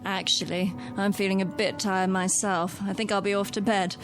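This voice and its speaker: A woman's voice: medium pitch, flat delivery, normal speed, over music, natural voice. An actress in a radio drama playing a gracious, proper woman passenger. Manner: polite, proper. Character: genteel, gracious British woman passenger, independent and polite.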